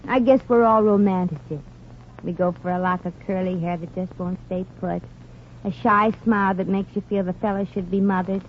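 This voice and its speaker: Actress as a wisecracking dame on muffled old radio. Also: female voice, medium pitch, fairly even intonation, normal speed, close to an everyday voice. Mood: wry, chatty. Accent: American. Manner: folksy, slangy, wisecracking. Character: brassy, wisecracking young woman with folksy Southern airs. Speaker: lead actress and narrator of a comedy series. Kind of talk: 1940s radio comedy acting. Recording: noisy, band-limited old radio recording.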